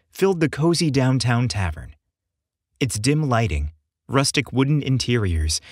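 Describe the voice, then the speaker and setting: A man narrating scripted fiction as an audiobook narrator, in a measured, expressive storytelling manner. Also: mid-range voice, dry recording, clean studio sound.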